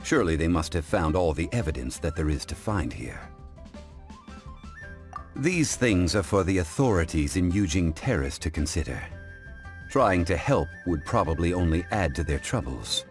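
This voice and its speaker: A deep-voiced, dignified gentleman scholar speaks with formal, measured courtesy. His lecturing tone is composed yet richly inflected, in the style of an anime game, with music underneath. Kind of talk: English anime game dub acting.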